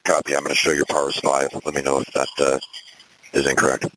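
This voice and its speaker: Very deep, flat male fire commander on radio. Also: fast, rough recording. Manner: clipped, composed, directive. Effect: two-way radio. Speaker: fire battalion chief acting as incident commander. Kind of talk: procedural emergency radio dispatch traffic.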